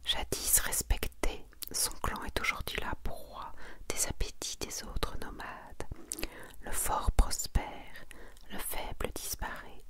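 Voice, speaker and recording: A woman hosting an ASMR video, speaking softly in a hushed whisper as she presents and comments. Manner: soft, hushed, unhurried delivery. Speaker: ASMR video host presenting a manga collection. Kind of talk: whispered ASMR, reading summaries and casual comments.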